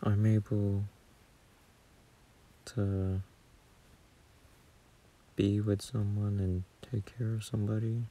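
Man, gentle boyfriend ASMR, soft and hesitant, low-pitched, subdued flat intonation, soothing, close phone mic. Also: takes it slowly, long pauses. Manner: soft, hesitant, soothing, at times near whisper. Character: gentle, caring boyfriend comforting partner. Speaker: ASMR roleplay performer. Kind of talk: boyfriend roleplay ASMR, sleep aid.

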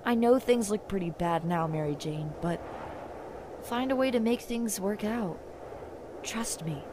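A woman's voice: medium pitch, lively intonation, unhurried, background noise, close to an everyday voice. A woman voicing a pregnant young woman abandoned by her husband, earnest and pleading, in a comic dub.

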